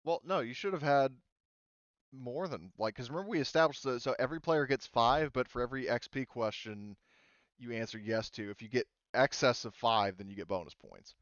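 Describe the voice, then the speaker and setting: A man's voice: mid-range voice, a little fast, a bit bright, smooth, dry recording, muffled recording. A man refereeing a casual tabletop game as game master, hesitant and thinking aloud as he talks.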